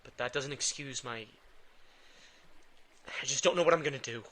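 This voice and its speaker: Brooding male vampire for audio drama, played by a man: forward, bright voice, halting and defensive, clear background noise. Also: medium pitch, close to an everyday voice. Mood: subdued, guilty. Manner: halting, defensive, stammering under pressure. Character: brooding, drained, guilt-ridden reluctant male vampire. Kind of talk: intimate audio drama acting.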